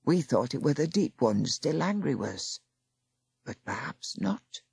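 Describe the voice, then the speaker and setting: A woman voicing a gruff old male cave-dwelling Aufwader elder. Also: low-pitched, big pitch swings, unhurried, a little mellow, no room sound, full character voice. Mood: grave. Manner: gruff, broad dialect.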